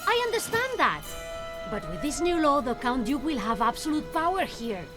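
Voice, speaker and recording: A bold young swordswoman from a video game. Her high voice swings expressively, teasing and idealistic, with clear music behind it. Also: big pitch swings, moderate pace. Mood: indignant, earnest. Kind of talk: animated video game acting.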